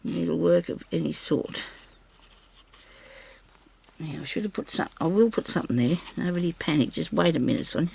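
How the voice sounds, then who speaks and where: A woman with a slightly raspy voice, recovering from a sore throat, chatting casually to viewers and to herself as she crafts. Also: low-pitched, lively intonation, normal speed, long pauses, a little mellow. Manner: chatty, talks to herself while working. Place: craft table indoors.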